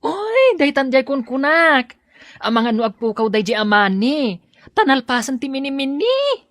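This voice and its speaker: A woman's voice: high-pitched, very animated, moderate pace, a bit bright, no echo, studio quality. A woman playing a frank, teasing workmate in an Ilocano audio drama, full of exclamations. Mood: alarmed, advising. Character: frank, nosy, teasing female workmate and friend.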